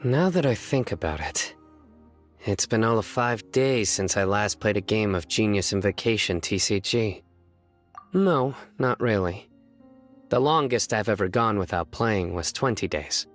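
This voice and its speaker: A man voicing a serious, stoic young lawman in a video game, earnest and deadpan with a measured way of talking. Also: on the low side, lightly acted. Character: serious, stoic young male lawman who earnestly tells bad jokes. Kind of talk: video game character voice acting. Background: music.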